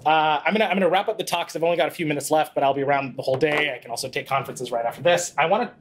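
A man in his thirties giving a live presentation in a small conference room, talking casually with many fillers and quick self-corrections, into a handheld mic picked up by a distant camera. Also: fairly high pitch. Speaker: conference speaker. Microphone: handheld mic, far camera.

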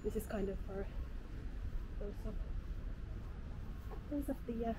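A woman from Finland narrating a city walk in a casual, unhurried way, with frequent "uh" fillers, recorded outdoors on the camera's built-in mic. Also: medium pitch. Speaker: walking-tour vlogger. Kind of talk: casual narration while walking. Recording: street noise and passing traffic.

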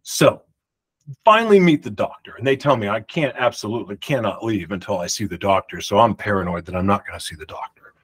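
A man in his forties telling a rambling personal story to camera in a room at home, talking emphatically and projecting loudly. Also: fairly low pitch, expressive, a little fast. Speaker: vlogger. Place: home room with pictures on the walls. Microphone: large studio mic beside him.

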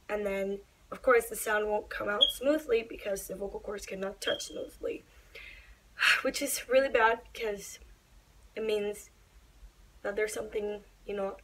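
A young woman vlogging to camera in a small bedroom, talking earnestly and openly, her voice slightly raspy and breathy. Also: medium pitch, fairly bright tone, low-quality recording. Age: young adult. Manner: earnest, open, reassuring. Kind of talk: personal vlog talk to camera.

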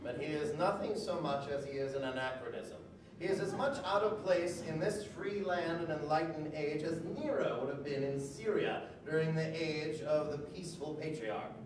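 Man acting a high-voiced, indignant old-time orator. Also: background noise, natural voice. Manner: declamatory, pompous. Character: indignant, grandiloquent 19th-century male orator. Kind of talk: dramatic reading of historical speeches. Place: large bare-walled room, on stage indoors. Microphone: stand microphone, recorded by far camera. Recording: echoey room heard from far off.

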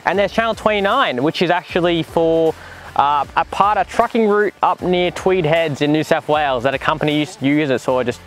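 A man's voice: a little high, a little fast, soft background music. A man in his twenties with an Australian accent, casually explaining to camera as a vlog host outdoors. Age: 20s. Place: outdoors in dry bush clearing beside a 4WD.

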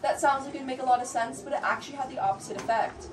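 Young woman giving a cave tour, kinda high voice, super echoey, picked up from a distance. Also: noisy background. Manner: informative, measured, explains to a group. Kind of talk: guided tour explanation. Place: large cave, indoors. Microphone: far camera held by a visitor. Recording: echoey cave recorded from far off.

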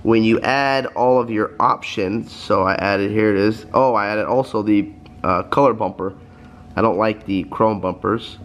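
A man's voice: on the low side, a little slow. A man in his thirties with a cold, chatting casually to viewers while filming himself in his home garage. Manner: rambling, chatty, addresses viewers as guys. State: stuffed up from a cold. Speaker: vlogger. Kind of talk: casual vlog talk. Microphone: handheld vlog camera.

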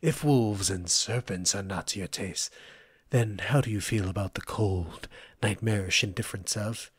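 A man voicing a proud, needy, menacing ancient forest entity in an ASMR roleplay, breathy and husky, with theatrical, formal diction. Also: fairly low pitch, normal speed, dry recording, studio quality. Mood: menacing, offended. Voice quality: breathy, husky, often dropping toward a whisper.

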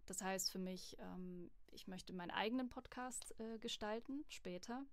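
A woman, a voice acting student, talking thoughtfully in a casual interview and often pausing with fillers. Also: mid-range voice, no room sound. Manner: thoughtful, many hesitation fillers.

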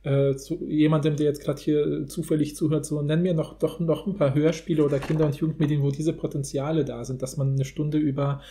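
A man's voice: a little high, level tone, brisk, hardly any pauses, mellow. A man co-hosting a podcast, talking casually and analytically in a discussion. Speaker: podcast co-host.

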